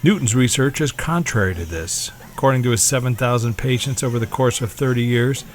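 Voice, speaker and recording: A man's voice: mid-range voice, fairly quick, soft background music. A man delivering scripted documentary narration in the role of narrator.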